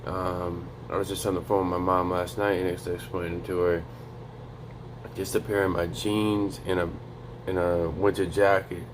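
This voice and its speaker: A young man giving a casual, profane motivational vlog, speaking low and quiet to avoid waking a neighbor. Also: little pitch movement, normal speed, background noise. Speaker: vlogger. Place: home in North Dakota.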